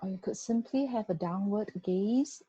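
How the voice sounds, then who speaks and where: A woman guiding a body scan meditation, giving gentle, unhurried instructions with long pauses. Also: mid-range voice, husky, no echo. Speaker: meditation guide. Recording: dull, muffled lo-fi recording.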